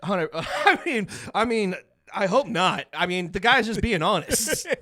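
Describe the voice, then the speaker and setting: A man's voice: high voice, animated, a little slow, sharp tone, no echo, studio quality. A man chatting casually as a podcast co-host, joking in a crude, mocking way and swearing freely.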